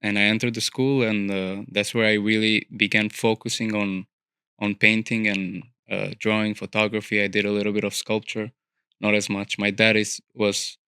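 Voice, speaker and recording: A young man from Albania talks casually as a podcast guest, circling his thoughts with fillers and restarts. His voice sits low, with a bright, smooth and clear tone. It is recorded dry and close in a studio. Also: flat delivery, moderate pace, dry recording. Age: young adult. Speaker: guest, architecture student. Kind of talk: casual interview conversation.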